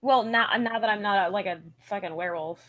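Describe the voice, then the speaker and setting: A woman's voice: medium pitch, brisk, a bit bright, dry recording. A woman playing in a tabletop role-playing game over a voice call, blunt and swearing casually. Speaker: player.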